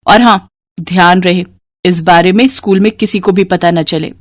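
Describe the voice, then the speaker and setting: A woman's voice: on the low side, steady tone, moderate pace, dry recording, studio quality, natural voice. A woman voicing a headstrong, lovestruck schoolgirl in a dramatized story, speaking in an emphatic, earnest way. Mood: defiant. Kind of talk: dramatized Hindi moral story acting.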